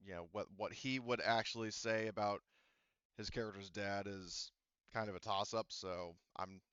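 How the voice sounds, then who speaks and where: Smooth-sounding guy running a tabletop rpg, hesitant and casual, dry slightly muffled lo-fi mic. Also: fairly low pitch, a bit bright, dry recording. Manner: halting, hesitant delivery as he improvises. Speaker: game master. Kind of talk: casual tabletop game narration and chat.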